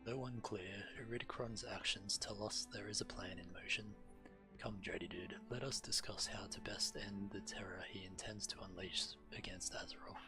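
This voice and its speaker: Calm noble dragon for a fantasy game, a bit deep, dutiful and measured. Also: male voice, vocal fry, rough recording. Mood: determined. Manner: measured, formal, solemn. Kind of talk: fantasy video game acting. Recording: music under the voice. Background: game music.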